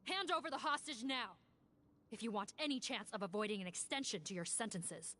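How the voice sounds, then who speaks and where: A woman's voice: a little high, animated, brisk, sharp tone, a little hiss or hum. A woman playing a stern, commanding law enforcement officer in a video game. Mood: stern warning. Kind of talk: video game dialogue acting.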